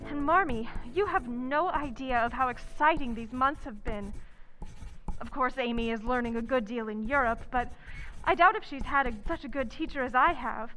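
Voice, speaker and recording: A woman's voice: high voice, moderate pace, sharp tone, faint music behind, rough recording. A woman playing an eager, talkative young woman writer in old-time radio drama style, with earnest enthusiasm. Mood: excited, affectionate.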